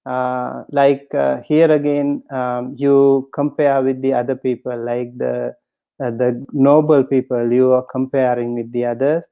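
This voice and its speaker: A man, a Buddhist monk, giving a dharma talk in a halting way with many pauses and fillers, reciting Pali terms and then explaining each one. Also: medium pitch, little pitch movement, gravelly, clean tone. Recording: dull lo-fi recording.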